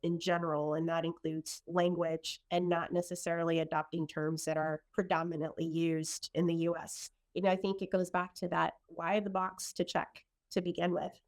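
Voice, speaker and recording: A woman's voice: on the low side, level tone, normal speed, hardly any pauses. A middle-aged woman from El Salvador, sharing personal stories in an earnest, reflective conversation. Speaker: guest in a conversation.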